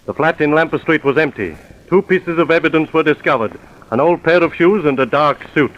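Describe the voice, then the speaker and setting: An actor playing the sober narrator of a crime drama, in scripted old-time radio style. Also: male voice, on the high side, brisk, a bit bright, lightly acted. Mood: sober, dramatic. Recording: dull vintage radio recording.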